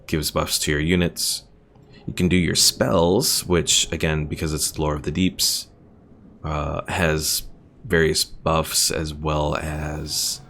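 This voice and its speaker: A man with a deep, gravelly voice talks through a strategy game stream at an easy pace. He explains the mechanics in a relaxed, conversational way and answers viewers' questions as they come in. Faint music runs underneath him. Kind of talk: casual gameplay commentary answering chat.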